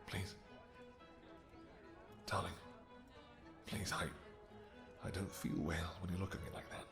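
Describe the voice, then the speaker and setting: A man's voice: low-pitched, flat delivery, takes it slowly, music in the background. A man voicing a doting, possessive, brooding vampire king who speaks in formal, archaic, theatrical phrases in a romantic fantasy audio roleplay. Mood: pleading, distressed.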